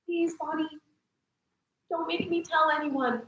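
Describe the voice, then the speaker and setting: A high, bright voice in a very reverberant room, an actress playing a shaken young woman in a stage drama. She is defensive and reluctant, speaking as someone traumatized. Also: steady tone, measured pace, slight background noise. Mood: distressed, resigned. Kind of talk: staged reading of a play. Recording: echoey room, distant mic.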